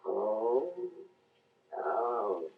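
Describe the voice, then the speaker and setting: A woman voicing a small, gentle, homesick alien creature in halting simple phrases, with a raspy, breathy croak, on an old storybook record. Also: very deep voice, very animated, phone-quality sound, exaggerated voice. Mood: homesick, pleading.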